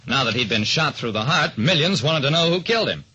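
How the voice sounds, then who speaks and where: A man's voice: a little high, animated, a little fast, sharp tone, breathy edge, full character voice. An actor, a man, playing a hard-boiled private detective who narrates his case in a clipped, dramatic old-radio style. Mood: wry, dramatic. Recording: dull old-time radio recording.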